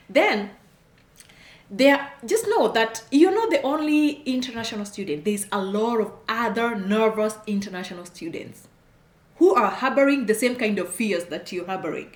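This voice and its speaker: A middle-aged woman with a Kenyan accent, giving frank advice straight to camera, repeating her points and laughing often. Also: medium pitch. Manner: frank, repetitive, emphatic, laughs often, gestures while talking. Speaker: immigration consultant. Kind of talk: direct-to-camera advice talk. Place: indoor room at home, plain wall. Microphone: camera on a desk, seated facing it. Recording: echoey room.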